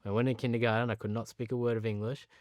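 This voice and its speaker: A man's voice: mid-range voice, level tone, racing, no echo, clean studio sound. A man in his thirties with an Australian accent, born in Pakistan, talking candidly as an interview guest, earnest and chatty, sometimes laughing at himself. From Pakistan, raised in Sydney. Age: 30s.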